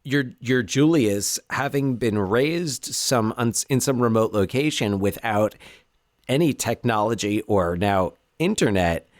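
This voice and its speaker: Man co-hosting a podcast, lively restarts. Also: mid-range voice, measured pace, dry recording, clean studio sound. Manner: animated, frequent restarts. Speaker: podcast co-host. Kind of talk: casual chat.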